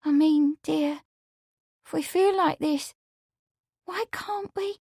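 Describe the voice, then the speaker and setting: A woman's voice: high voice, takes it slowly, darkish tone, dry recording, clean studio sound, pitched above the performer's own voice. A woman voicing a young maidservant, tearful and scheming, with pleading, sighing delivery. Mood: yearning. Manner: tearful, pleading, breathy sighs.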